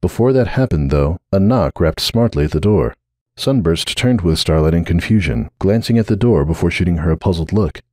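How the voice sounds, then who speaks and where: A man narrates a story in a fairly low voice with a very lively, dramatic range. The recording is dry and close, with no room sound. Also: moderate pace, no echo. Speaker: narrator of a dramatic fan fiction reading.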